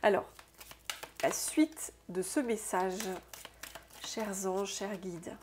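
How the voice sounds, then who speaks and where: Slow-talking stuffy-nosed woman. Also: mid-range voice, background noise. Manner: soft, unhurried, musing aloud with frequent "hein". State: stuffy nose from a cold. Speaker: soul therapist and oracle card reader. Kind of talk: intuitive oracle card reading to viewers.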